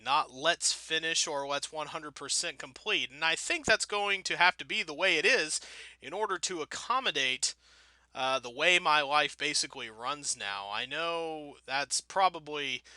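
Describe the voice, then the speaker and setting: A man's voice: fairly high pitch, expressive, measured pace, sharp tone, no room sound. A man giving casual, rambling video game commentary as a let's play host, wordy, wry and self-deprecating.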